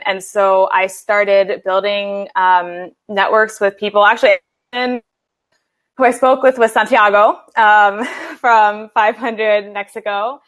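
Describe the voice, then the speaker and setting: A woman's voice: medium pitch, a bit bright. American woman on a video panel. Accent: American, Philadelphia. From United States. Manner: reflective, many fillers and restarts. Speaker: panelist, venture investor. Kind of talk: casual panel discussion.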